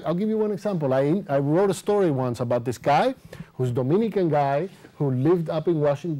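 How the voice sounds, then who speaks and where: A man hosts a conversational group discussion, putting questions and rephrasing answers. His voice sits a bit high for a man and has a very mellow, unforced tone. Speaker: interviewer leading a student discussion.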